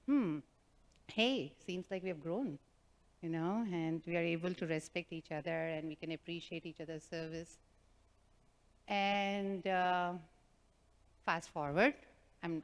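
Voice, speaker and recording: A woman telling a devotional group a personal story, animated and self-mocking, with a loud voice and frequent laughter. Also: medium pitch. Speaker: devotional speaker. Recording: reverberant hall.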